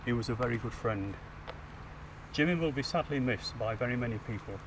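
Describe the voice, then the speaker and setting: A man's voice: medium pitch, fairly quick. A man acting as family spokesman, reading a formal prepared statement outdoors. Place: outdoors in a street. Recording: distant mic, clear outdoor traffic noise.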